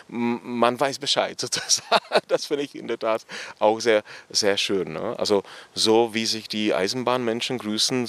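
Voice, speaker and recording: A man's voice: lowish voice, a bit bright, no room sound. A man from the Czech Republic with a Czech accent, talking about his writing in a reflective, hesitant way full of fillers. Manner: thoughtful, hesitant, many fillers. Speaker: author. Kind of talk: relaxed reflective interview talk. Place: outdoors on a cemetery bench.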